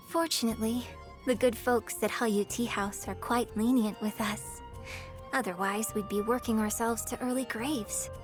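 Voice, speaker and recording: An actress playing a refined, gracious young opera star and troupe director in a video game, speaking in a formal, theatrical manner. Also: female voice, on the high side, natural voice. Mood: poised, gracious. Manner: genteel, theatrical, formal wording. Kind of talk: video game character voice lines.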